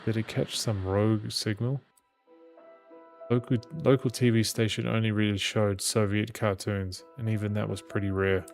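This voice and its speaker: A man reading a visual novel aloud as a gaming host, in measured storytelling with occasional stumbles. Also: on the low side, creaky, a little mellow. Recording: game music behind the voice.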